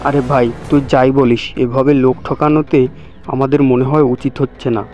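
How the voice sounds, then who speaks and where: A man's voice: mid-range voice, little pitch movement, fairly quick, darkish tone, soft background music, lightly acted. Cartoon casting: man acting a worried, principled young village fellow in a mid-range male voice, protesting anxiously. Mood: uneasy, protesting. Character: timid, honest young village man, the schemer's friend. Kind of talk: cartoon dialogue.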